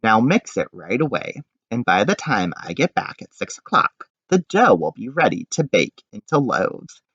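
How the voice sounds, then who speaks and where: A man voicing a bossy, idle baker father in a picture book, giving orders in a drawn-out way. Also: fairly low pitch, big pitch swings, a bit bright, slightly rough, exaggerated voice. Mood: instructing. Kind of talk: children's picture-book character voice. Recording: dull, roomy home recording.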